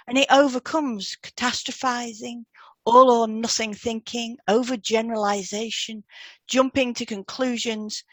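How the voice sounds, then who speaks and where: A woman reads scripted, instructional material as a podcast co-host. Her voice sits at an average pitch for a woman. The recording is very dry, with little room sound. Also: a bit bright, no echo.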